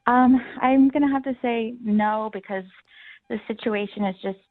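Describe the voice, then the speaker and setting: A woman's voice: on the high side, normal speed. A young woman talking casually and explaining herself as a guest on a radio phone-in. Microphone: phone call. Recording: thin, band-limited phone line.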